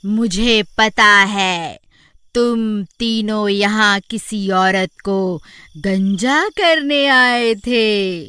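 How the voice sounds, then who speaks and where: A woman voicing a vengeful bald witch in a cartoon horror story, with drawn-out, threatening delivery. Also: mid-range voice, pushed and bright, smooth. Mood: menacing. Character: menacing bald female witch, vengeful yet able to relent.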